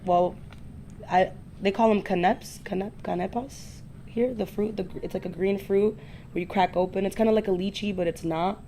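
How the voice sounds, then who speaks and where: A woman's voice: fairly low pitch, mellow, slight background noise. A young woman born in the Dominican Republic, a farm co-founder, telling stories from her childhood in casual podcast talk.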